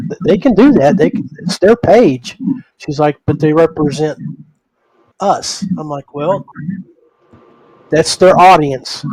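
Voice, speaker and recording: Higher-voiced man hosting, dry close sound. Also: darkish tone, dry recording, poor audio. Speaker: podcast host. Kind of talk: casual conversational discussion.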